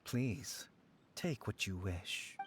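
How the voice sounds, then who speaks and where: A mysterious shrine keeper. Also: male voice, lowish voice, lively intonation, a bit bright, slight background noise, heavily acted. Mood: knowing. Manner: unhurried, knowing, politely formal. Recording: game audio under background music.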